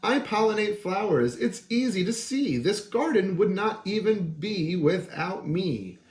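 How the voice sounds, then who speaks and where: Brief: man playing a bossy buzzing honeybee, high and lively, scolding, roomy echo. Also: unhurried. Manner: scolding, proud. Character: buzzing, self-important honeybee.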